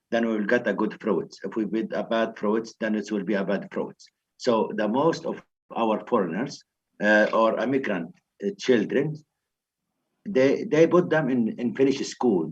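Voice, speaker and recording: A man, an election candidate, answering in an online panel debate in halting, simple phrases, comparing children to a field. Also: lowish voice. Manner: halting, uses a farming metaphor. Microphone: distant video call mic. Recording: distant, muffled video call.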